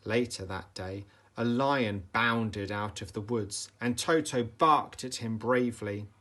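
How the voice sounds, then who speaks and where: A man reading a children's bedtime story aloud with dramatic, deliberate emphasis for young listeners. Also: fairly low pitch, bright, forceful tone. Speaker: storybook reader, teacher.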